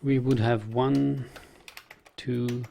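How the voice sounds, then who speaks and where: A man's voice: medium pitch, fairly even intonation, very slow, vocal fry, dark tone. A young man playing a strategy game on stream, thinking aloud in a hesitant, restarting way and answering viewer chat. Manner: hesitant, muttering plans with restarts.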